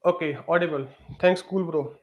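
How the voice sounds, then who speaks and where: A man's voice: a little high, normal speed, highs cut off. A man teaching a live-streamed exam prep lesson, leaning on fillers and repeatedly asking viewers to comment.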